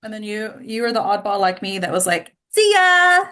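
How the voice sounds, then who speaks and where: A woman's voice: mid-range voice, big pitch swings, moderate pace, pushed and bright. An American woman from Texas, chatty and effusive, hosting an online group and welcoming people in casual talk. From Texas, United States. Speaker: host of an online actors' table read. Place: online video call. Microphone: video call.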